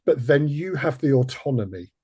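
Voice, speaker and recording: A man's voice: mid-range voice, lively intonation, normal speed, darkish tone, breathy edge, no echo, studio quality. A man hosting a panel discussion, phrasing his points tentatively and hesitantly as he works through them. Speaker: host.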